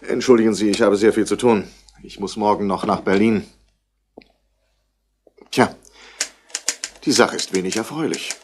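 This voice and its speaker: A man's voice: lowish voice, moderate pace, pauses often, darkish tone, a little hiss or hum, close to an everyday voice. An actor playing a brusque, self-important Australian businessman in a 1960s German radio crime drama. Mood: businesslike, firm. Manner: clipped, self-important, indignant. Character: brusque, busy rich Australian businessman, wool merchant's son.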